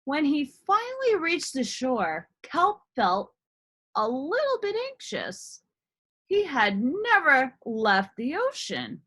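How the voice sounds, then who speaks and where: A woman storyteller reading a picture book aloud in an expressive, deliberate style with dramatic emphasis. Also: high-pitched. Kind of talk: expressive children's read-aloud narration.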